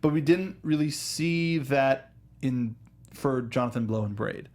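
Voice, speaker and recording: Male panel host, mid-pitched, chatty and enthusiastic, thinks out loud with frequent false starts. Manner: halting, often restarts sentences mid-thought; enthusiastic fan talk. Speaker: host of a film review show. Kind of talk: casual panel discussion of films and games.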